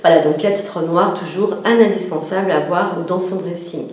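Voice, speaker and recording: A woman's voice: lowish voice, fairly quick, darkish tone. Chatty woman in an echoey room. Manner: chatty, repetitive lists, frequent "euh" fillers. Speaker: fashion vlogger. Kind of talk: casual talk to camera. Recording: very echoey room with faint noise.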